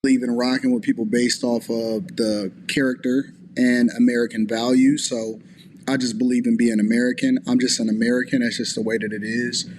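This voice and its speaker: Male commentator, measured and articulate, faint noise behind. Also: mid-range voice, level tone, darkish tone. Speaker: commentator in a played clip. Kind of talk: political commentary.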